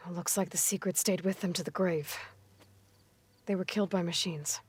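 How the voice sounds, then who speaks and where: A woman's voice: fairly low pitch, steady tone, moderate pace, slightly rough. A woman voicing a level-headed, skeptical young heroine in a video game, questioning in a pointed, understated way. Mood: probing. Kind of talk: video game cutscene dialogue.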